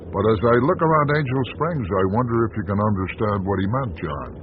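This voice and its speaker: A man's voice: lowish voice, animated, brisk, close to an everyday voice. An actor playing a world-weary, bitterly sardonic small-town American newspaperman in a radio drama, speaking with theatrical deliberation. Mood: grave, weary. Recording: dull lo-fi radio broadcast, noisy.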